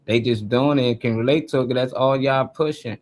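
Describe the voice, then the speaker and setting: Casual man chatting, mid-pitched voice. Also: steady tone, fairly quick. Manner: informal, colloquial storytelling. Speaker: talk show host. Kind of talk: casual chat, live show discussion.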